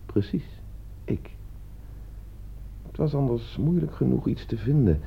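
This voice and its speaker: Cool, gravelly schemer in radio drama. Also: male voice, mid-range voice, animated, full character voice. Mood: calm, narrating. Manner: composed, deliberate, provocative. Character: cool, calculating man staging a deadly experiment. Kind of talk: radio play acting. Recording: dull, muffled old radio play recording.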